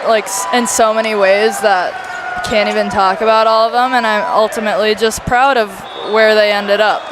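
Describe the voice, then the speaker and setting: A woman's voice: medium pitch, flat delivery, moderate pace. A young woman, a player and coach, answering interview questions in an upbeat, loose way. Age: young adult. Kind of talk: casual interview. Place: loud gym with a crowd. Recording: noisy crowded gym. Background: crowd cheering, balls bouncing.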